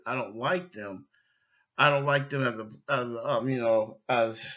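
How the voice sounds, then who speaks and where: A middle-aged man hosting a sports podcast solo, giving opinions emphatically and haltingly, repeating phrases as he goes. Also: mid-range voice, pushed and bright, dull audio.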